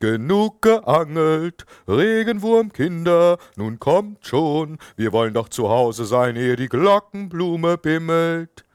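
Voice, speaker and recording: A man voicing an earthworm father who calls his children loudly with drawn-out words. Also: on the high side, lively intonation, clean tone, no echo, studio quality. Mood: calling. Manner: loud calling, drawn out.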